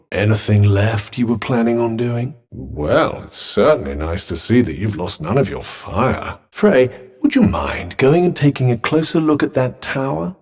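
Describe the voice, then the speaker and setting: A man's voice: medium pitch, a little mellow. A man with a British accent voicing a haughty, erudite magical cuff in a fantasy video game, with polished diction and dry sarcasm. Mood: advising, wry. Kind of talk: scripted game companion dialogue.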